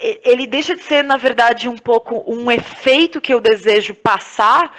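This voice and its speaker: A woman with a Brazilian accent reflects on her work as a podcast guest. Her voice is slightly higher than average. She talks conversationally, searching for words and often repeating them as she thinks. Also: normal speed, fairly bright tone. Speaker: podcast guest, playwright and performer.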